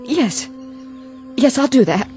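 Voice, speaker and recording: An actress playing a terrified, submissive small-town wife in a radio drama, tremulous, careful and pleading. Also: female voice, sits high, expressive, slow, dark tone, soft background music. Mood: anxious compliance.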